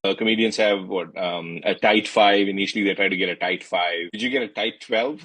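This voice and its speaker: A male interviewer with a low, husky voice asks loose, winding questions and stops to search for words. The sound is close and dry, with no room around it. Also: a little fast, a bit bright, no room sound. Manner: asks long rambling questions with hesitations. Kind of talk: casual interview chat.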